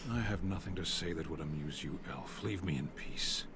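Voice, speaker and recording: A man playing a stoic, proud warrior prisoner in a fantasy video game, speaking in a terse, formal deadpan. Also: deep voice, moderate pace, background noise, close to an everyday voice. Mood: cold, guarded.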